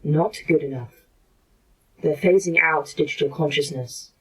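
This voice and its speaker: A woman's voice: sits low, unhurried, slightly rough, heavily acted, poor audio. A woman playing a blunt, uneasy artificial intelligence in a sci-fi horror audio drama, challenging and accusatory. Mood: accusing. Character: anxious, blunt artificial intelligence fearing replacement.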